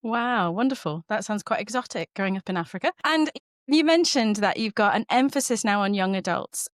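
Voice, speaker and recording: A woman's voice: mid-range voice, animated, fairly quick, no echo, studio quality. A woman hosting a church podcast, reading a scripted introduction and then putting upbeat questions to her guest. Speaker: podcast host.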